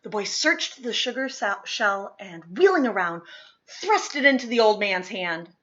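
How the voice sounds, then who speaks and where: A woman reading a children's picture book aloud expressively, with deliberate phrasing, dramatic pauses and emphasis. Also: medium pitch, animated, fairly bright tone, dull audio. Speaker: read-aloud storyteller.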